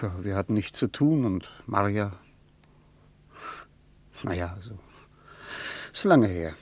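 A man's voice: on the low side, expressive, takes it slowly. An actor playing a plain-spoken German man on his travels in a radio drama, talking in a conversational, somewhat hesitant way. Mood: wistful. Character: plain-spoken German male traveller, wartime veteran, reminiscing.

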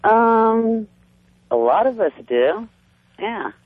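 Deep-voiced woman caller on a phone line. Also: sing-song, extremely slow, no echo. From West Virginia. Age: 30s.

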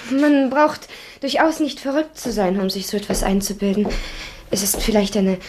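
A woman acting a lonely, wary woman with a gentle streak in a radio drama. She speaks in short, subdued, hesitant phrases at a medium pitch, with faint noise on the recording. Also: expressive, normal speed, natural voice. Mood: gently probing. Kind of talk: German radio play acting.

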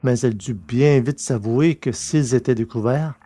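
A man reads scripted science-fiction narration. His voice sits in a middle register. The delivery is measured and literary, like an audiobook. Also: medium pitch, dark tone.

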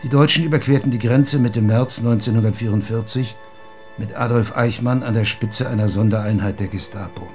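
An actor playing a solemn museum expert who explains history in a formal, measured way in a radio drama. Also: male voice, lowish voice, a little mellow, natural voice. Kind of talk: scripted lecture-like account in radio drama. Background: faint music.

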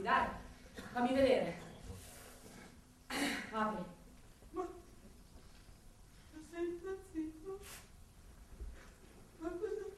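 A man's voice: very high-pitched, heavily acted. A woman playing an exhausted, sarcastic and hot-tempered male police inspector in a theatrical radio drama monologue. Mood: weary, irritable, then shocked anguish. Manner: biting, sarcastic, volatile, theatrical. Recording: echoey room, distant mic, faint noise.

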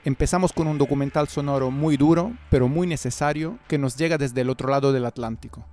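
A man's voice: mid-range voice, brisk, over loud music. A middle-aged man presenting a radio programme as its host in a scripted style.

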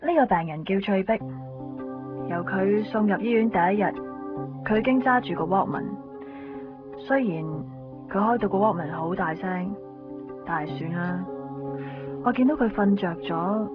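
An actress playing a weary, matter-of-fact hospital nurse in a Hong Kong Cantonese radio drama, with a resigned, dry delivery. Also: female voice, on the low side, lightly acted. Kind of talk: 1990s radio drama acting and narration. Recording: dull lo-fi radio recording, music behind.

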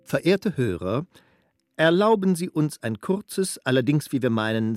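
A man's voice: medium pitch, darkish tone. A man playing a courteous, formal radio presenter in a radio play, ceremonious and emphatic. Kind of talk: radio play announcer acting.

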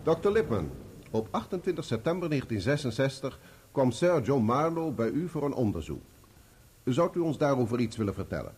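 An actor playing a courteous, methodical lawyer questioning witnesses formally in a radio play. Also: male voice, medium pitch, very animated, fairly quick, darkish tone, slight background noise, close to an everyday voice. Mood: courteous, probing.

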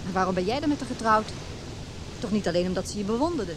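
An actress playing a gentle but guarded married woman confiding with a friend in a naturalistic radio drama. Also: medium pitch, animated, fast talker, background noise, close to an everyday voice. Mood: gentle, probing.

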